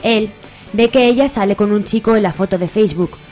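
A woman's voice: medium pitch, a little fast, a little mellow, faint music behind. A woman with a Spanish accent, reading scripted narration for a radio soap opera.

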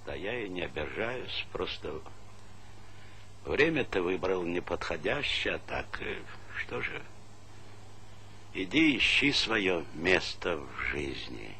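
A weary, fatherly village boss in a stage drama. His lowish voice has a forceful edge, yet he speaks slowly and reflectively with long pauses, on a slightly noisy old recording. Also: sing-song, full character voice. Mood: subdued, resigned. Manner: measured, pausing, plain-spoken. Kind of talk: theatrical stage-play dialogue.

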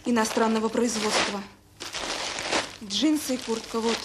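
A woman's voice: fairly high pitch, fairly even intonation, unhurried, background noise, lightly acted. An actress playing an anxious woman staffer at a children's home, hesitant and apologetic, in a Soviet radio drama. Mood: hesitant, worried.

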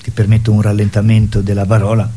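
A man's voice: fairly low pitch, monotone, fast talker, dark tone. Guy in a casual theatre interview, rambles and restarts, bit of noise behind him. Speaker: theatre performer and guest.

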